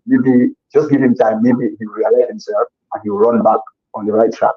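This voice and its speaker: Husky-voiced man, earnest. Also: on the low side, steady tone, normal speed. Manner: earnest, repeats himself while searching for words. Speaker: interview guest. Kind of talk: casual conversational interview. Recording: dull lo-fi recording.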